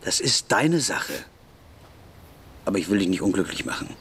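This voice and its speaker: A man's voice: sits low, sing-song, normal speed, fairly bright tone, a little hiss or hum, exaggerated voice. An actor playing a bossy, taunting teenage boy who extorts younger kids, in a radio play. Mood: menacing, smug. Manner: taunting, bossy, mock-generous. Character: bullying teenage boy extortionist, sneering and commanding.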